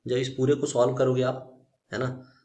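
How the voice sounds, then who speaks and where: A man's voice: medium pitch, level tone, moderate pace, a little mellow. A man with an Indian accent teaching a physics solution step by step, mixing in English technical terms and often checking in with short tag questions. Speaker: physics tutor.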